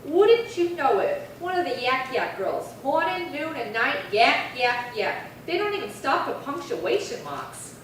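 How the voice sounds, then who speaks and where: A woman's voice: high-pitched, big pitch swings, unhurried, few pauses. A woman playing a brash, slangy, struggling model in a 1950s radio crime drama, sassy and full of complaints. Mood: sardonic. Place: live theatre stage reading. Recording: echoey hall, distant mic, faint noise.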